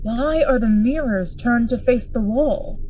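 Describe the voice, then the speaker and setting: A woman's voice: on the high side, measured pace, highs cut off, a little hiss or hum, close to an everyday voice. A woman acting a grave, commanding Victorian friend investigating a horror in a gothic radio drama, formal and urgent in manner. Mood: grim, puzzled.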